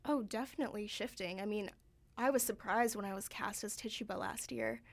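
A woman's voice: medium pitch, brisk, vocal fry. A woman in her early twenties, an actor being interviewed, talking in a chatty way and laughing easily. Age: 20s. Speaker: actor guest. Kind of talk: casual interview.

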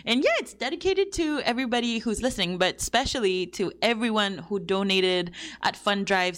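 A woman's voice: medium pitch, a bit bright. A young adult woman from Ecuador hosting a campus radio show, chatting casually with a bubbly, giggly manner. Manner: giggly, bubbly, bilingual asides. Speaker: radio host. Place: radio studio.

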